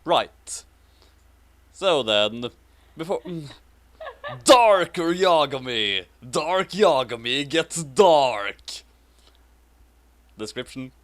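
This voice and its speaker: A woman's voice: deep voice, very animated, extremely slow, pauses often. A woman co-hosting a comic fan fiction reading, switching between casual chat and theatrical, exaggerated delivery.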